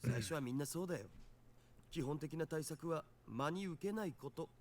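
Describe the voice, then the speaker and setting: An actor voicing an easygoing, nerdy young hacker sidekick in a Japanese visual novel. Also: male voice, a little high, fast, darkish tone, lightly acted. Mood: matter-of-fact.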